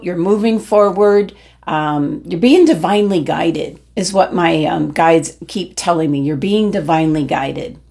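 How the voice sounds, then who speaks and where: Woman doing a tarot reading, voice going a bit hoarse, slow and rambly with lots of ums. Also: fairly low pitch. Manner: gentle, meandering, many ums. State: losing her voice. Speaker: tarot reader. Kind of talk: weekly horoscope tarot reading.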